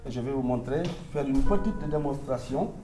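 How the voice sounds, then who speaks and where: A man whose mother tongue is Manding teaches a live audience, explaining patiently and speaking straight to them. His voice sits at an ordinary male pitch and carries a roomy echo. Plucked strings ring clearly behind him. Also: expressive, moderate pace, loud music behind the voice. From Senegal. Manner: patient step-by-step explaining, addressing the audience directly. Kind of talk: instrument demonstration workshop.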